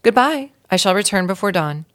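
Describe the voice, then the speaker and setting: A woman's voice: mid-range voice, lively intonation, fairly quick, natural voice. A woman voicing a brave, determined young male mouse with staunch, dramatic delivery in a storybook.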